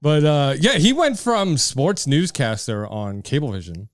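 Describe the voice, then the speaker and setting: Brooklyn-accented male podcast host, animated up-and-down delivery, dry close studio mic, rambling and chatty. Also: fairly high pitch, unhurried, no echo. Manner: rambling, heavy on fillers. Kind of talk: casual chat. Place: basement studio. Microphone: studio microphone.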